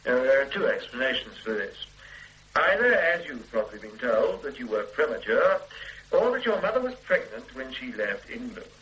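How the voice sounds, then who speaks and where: An actor in a radio drama playing a police superintendent who questions people in a calm, formal and persistent way. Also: male voice, mid-range voice, normal speed, lo-fi sound. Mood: measured. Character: measured, dogged police superintendent. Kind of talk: 1980s radio drama acting.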